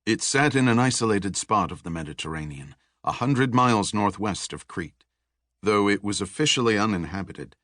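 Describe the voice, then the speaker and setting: A man reading scripted thriller narration in a measured, deliberate storytelling manner. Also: lowish voice, expressive, fairly bright tone, no echo, studio quality. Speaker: audiobook narrator.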